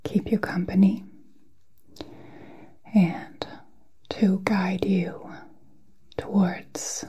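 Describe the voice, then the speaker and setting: A woman whispering a guided meditation in a soft, breathy, soothing way, with long pauses, as an ASMR host. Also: mid-range voice, fairly even intonation. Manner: slow, soothing, halting delivery with long pauses.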